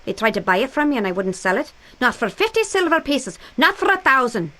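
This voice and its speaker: An actress playing a stubborn, tart antique collector in a video game, brisk and indignant. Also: female voice, high voice, sing-song, sharp tone, exaggerated voice. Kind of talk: video game cutscene acting.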